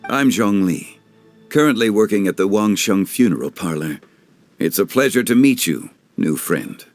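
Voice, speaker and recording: A man playing a calm, dignified gentleman in an anime game, speaking formally and with composure. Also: lowish voice, moderate pace, no echo, faint music behind. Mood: composed. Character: Zhongli, calm dignified gentlemanly funeral parlor consultant. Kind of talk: anime game dub acting.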